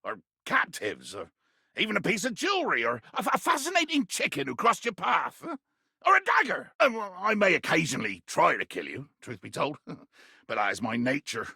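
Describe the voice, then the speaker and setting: An actor playing a tiny, fierce, pompous pirate captain in a video game, with a raspy, gravelly voice and grandiloquent, blustering delivery. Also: male voice, high-pitched, wildly swooping pitch, normal speed, sharp tone, dry recording, clean studio sound, heavily acted. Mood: wry, grudging. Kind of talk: theatrical video game character acting.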